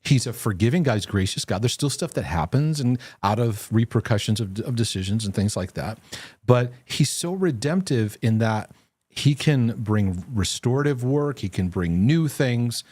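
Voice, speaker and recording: A man's voice: medium pitch, lively intonation, normal speed, a little mellow, dry recording. Earnest man hosting a live faith Q&A. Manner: earnest, reassuring, lots of restarts. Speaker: host. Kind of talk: live Q&A broadcast, faith-based teaching.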